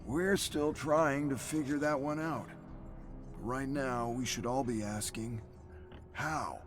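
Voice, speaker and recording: A man voicing a weary, knowing male detective in a story reading, measured and explanatory. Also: medium pitch, steady tone, over music, voice pushed up, lightly acted.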